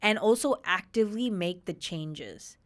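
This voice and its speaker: A woman in her thirties from Australia, a podcast guest telling her personal story candidly, with emphatic, animated retellings. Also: mid-range voice, measured pace. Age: 30s.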